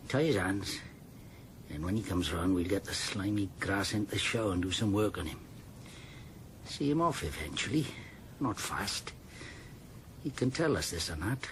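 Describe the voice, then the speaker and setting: A cold, cruel gang boss in a nineties radio thriller. His fairly low voice hands out clipped, menacing orders over faint background noise. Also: lively intonation, normal speed, pauses often. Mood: menacing relish. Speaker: actor in a radio drama. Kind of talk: 1990s radio drama acting.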